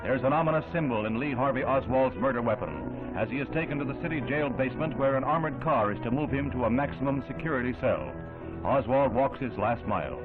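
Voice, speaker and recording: An American man narrating a 1960s newsreel in a solemn, measured, oratorical and dramatic style. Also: medium pitch, expressive. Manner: grave, measured, oratorical delivery. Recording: tinny 1960s newsreel soundtrack, band-limited. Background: music.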